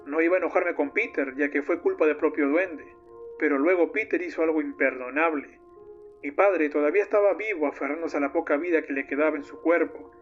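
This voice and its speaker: A man's voice: on the high side, lightly acted. A man voicing a grieving, vengeful young man who tells a horror story like a solemn diary. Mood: grieving, bitter. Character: young man recounting his friend's monstrous downfall, grieving, vengeful. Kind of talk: scripted first-person horror narration. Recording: muffled lo-fi audio under loud music. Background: music.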